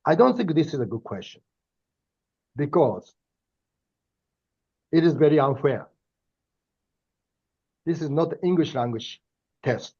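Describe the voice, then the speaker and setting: A man's voice: on the high side, sing-song, mellow, husky, muffled recording. Japanese-accented older man lecturing. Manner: thoughtful, halting, frequent fillers and restarts. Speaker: university professor giving a lecture. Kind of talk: lecture with personal anecdotes.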